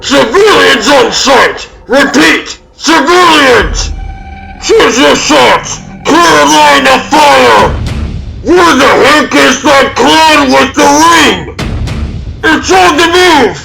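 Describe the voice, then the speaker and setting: A man voicing gruff, frantic military squad members, barking urgent orders and reports in a strained, gravelly voice for a comic dub. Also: extremely high pitch, lively intonation, drawn-out words, over music, heavily acted, rough recording. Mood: urgent, shouting. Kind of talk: comic dub action acting.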